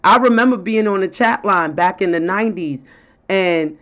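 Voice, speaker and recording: A woman with a deep voice, co-hosting a casual talk show and telling stories with frequent laughter. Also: normal speed, a bit bright. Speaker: co-host. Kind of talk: casual chat. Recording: dull lo-fi sound.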